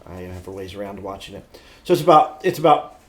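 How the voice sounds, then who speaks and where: A middle-aged man co-hosting a podcast, telling stories about pop culture in a blunt, animated, slightly crude casual way. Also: lowish voice, quick pace. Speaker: podcast co-host.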